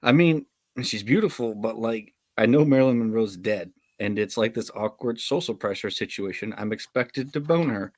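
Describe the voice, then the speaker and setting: A man's voice: fairly low pitch, moderate pace, no room sound, natural voice. A man playing an awkward, sarcastic, self-aware eighteen-year-old nerd in a script read. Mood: awkward musing. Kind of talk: live table read of a film script.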